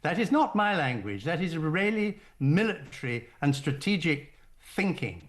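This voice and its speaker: An older man speaking as a radio interview guest, setting out his points in a formal, deliberate way. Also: a little high, big pitch swings. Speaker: guest, former diplomat.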